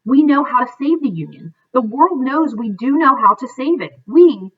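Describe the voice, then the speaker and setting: A woman's voice: high voice, animated, normal speed, breathy edge. A middle-aged woman reading a history book aloud like a teacher, in a careful manner, recorded at home on a computer microphone. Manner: careful reading with stumbles and self-corrections. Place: living room at home, indoors. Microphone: computer webcam microphone at desk distance. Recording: dull, lo-fi webcam sound.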